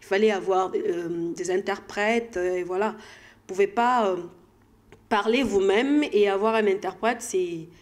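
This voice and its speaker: A woman with a Congolese accent and a mid-pitched voice gives conversational answers as an interview guest. She feels her way through sentences, often pausing to find the next word. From Democratic Republic of the Congo.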